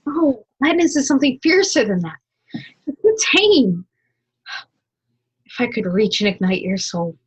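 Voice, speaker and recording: A woman's voice: fairly high pitch, sing-song, a little slow, long pauses, breathy edge, exaggerated voice. A young woman acting an ardent, impatient lover in a stage melodrama, declaiming with passion. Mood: pleading, impassioned. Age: young adult. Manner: declamatory, impassioned. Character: ardent, impatient lover, eloquently scolding and pleading. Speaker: student actor. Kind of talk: stage acting in a one-act period melodrama.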